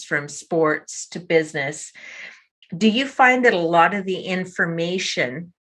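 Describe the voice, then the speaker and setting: Female interview host, lowish and bright, enthusiastic, chatty. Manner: enthusiastic, gushing. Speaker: podcast host. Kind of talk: interview host, casual chat.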